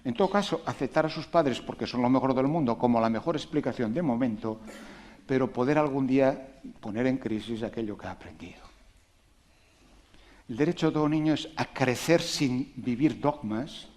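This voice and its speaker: A man giving a public talk to an audience on education, animated and emphatic, asking rhetorical questions and making conversational asides. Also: medium pitch, moderate pace. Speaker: invited speaker on education.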